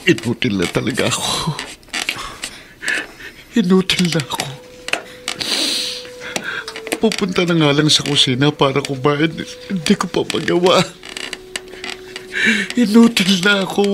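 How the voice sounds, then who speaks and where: A man's voice: high voice, normal speed, background noise. A man playing a despairing, self-pitying middle-aged father with a Filipino accent, acted melodramatically for radio drama. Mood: anguished.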